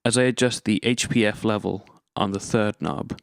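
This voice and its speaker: A man's voice: lowish voice, normal speed, gravelly, loud music behind the voice. A man presenting an instructional gear demonstration, explaining methodically, step by step. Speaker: tutorial presenter.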